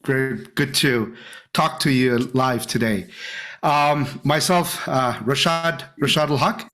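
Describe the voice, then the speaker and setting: A man's voice: mid-range voice, slow, fairly bright tone. A middle-aged man from Canada introducing himself informally and enthusiastically to classmates on a group video call. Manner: enthusiastic, chatty. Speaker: course student.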